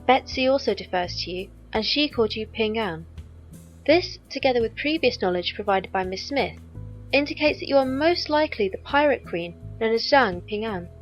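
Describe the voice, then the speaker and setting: An actress playing a loyal, composed squire in an audio drama, speaking formally and precisely. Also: female voice, a little high, lively intonation, moderate pace, lo-fi sound, faint music behind, lightly acted. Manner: formal, precise, stilted wording.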